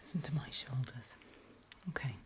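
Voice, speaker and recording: Whispering British woman, low-voiced ASMR doctor. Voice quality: breathy whisper. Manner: gentle, unhurried, reassuring. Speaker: ASMR roleplayer playing a doctor. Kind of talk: whispered ASMR medical roleplay.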